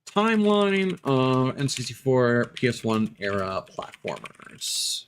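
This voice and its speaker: A man's voice: mid-range voice, sing-song, takes it slowly, a little hiss or hum. A man doing casual gaming commentary as a live streamer, rambling and opinionated as he thinks aloud. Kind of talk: casual gaming commentary, chatting while playing.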